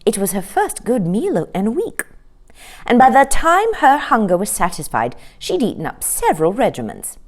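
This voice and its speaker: A woman storyteller narrating a children's story in an expressive read-aloud style. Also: on the high side, moderate pace.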